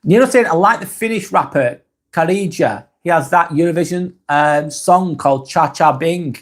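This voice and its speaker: A man from Lancashire with a Lancashire accent, hosting a casual live stream and reading viewer comments aloud in a soft, hesitant way. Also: fairly high pitch, normal speed. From Lancashire, England.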